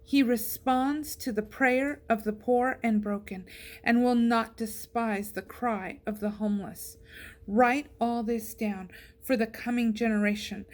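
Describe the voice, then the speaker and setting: A woman with a fairly high voice reads scripture aloud. She is reciting a psalm from a written text. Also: steady tone, measured pace. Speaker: scripture reader.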